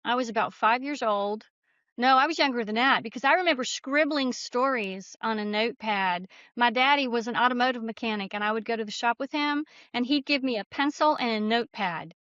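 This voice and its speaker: Female guest, slightly high voice, casual storyteller, dry but dull lo-fi recording. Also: a little fast, fairly bright tone, no echo. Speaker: podcast guest, novelist.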